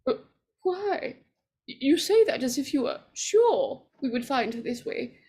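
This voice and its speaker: An actress playing a frightened, superstitious older woman in 1940s radio horror style, breathy and stammering. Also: sits high, very animated, dark tone, exaggerated voice. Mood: horrified, frightened. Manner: halting, stammering.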